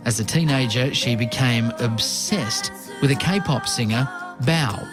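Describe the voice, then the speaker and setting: A man narrating a news feature in a measured, scripted way. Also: medium pitch, music in the background. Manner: measured, formal delivery.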